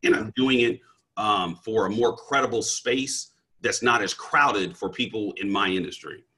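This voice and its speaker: A man talking casually and energetically in an interview about his work as a coach and speaker, with a deep voice and frequent restarts and stammers. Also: unhurried, sharp tone, husky. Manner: slangy, says "bro".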